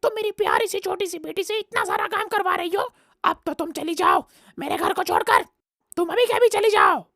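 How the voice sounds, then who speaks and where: A woman voicing an emphatic, fretful crow father with a creaky voice in a children's cartoon. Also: very high voice, brisk, a bit bright, no echo, studio quality, pitched above the performer's own voice, heavily acted. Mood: angry scolding. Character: troubled crow father, fretful, protective of his daughter.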